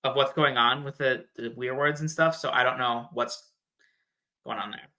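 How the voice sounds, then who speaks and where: A man's voice: medium pitch, fairly even intonation, normal speed, sharp tone. A man in a casual fan discussion, explaining things in a slangy, playful way as a podcast guest.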